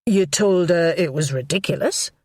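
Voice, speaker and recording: Woman reader playing anxious man who stammers; dry close read, lowish. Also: moderate pace, no echo, natural voice. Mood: defensive. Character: nervous stammering middle-aged man. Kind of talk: audiobook character voice.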